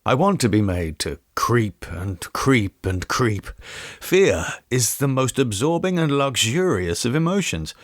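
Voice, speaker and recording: A man voicing a nervous gentleman who relishes being frightened, speaking eagerly and emphatically in an audiobook ghost story. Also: mid-range voice, very animated, a little slow, dry recording, studio quality.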